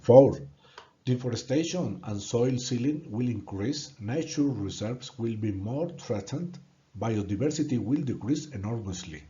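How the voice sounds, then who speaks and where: A man from Colombia with a Colombian Spanish accent, a native Spanish speaker giving an earnest, halting presentation in English and repeating words when stuck. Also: mid-range voice, expressive, dark tone, muffled recording. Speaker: student presenting a course project. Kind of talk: prepared presentation narration.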